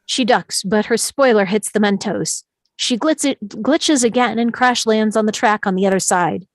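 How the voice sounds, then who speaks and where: A woman's voice: mid-range voice, normal speed, dry recording. A woman reading stage directions as the narrator of a live script table read.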